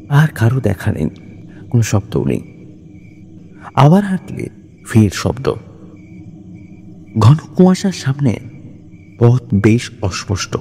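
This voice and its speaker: A man narrating scripted horror stories in a suspenseful, deliberate way, with dramatic pauses between phrases. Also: medium pitch, mellow, a little hiss or hum.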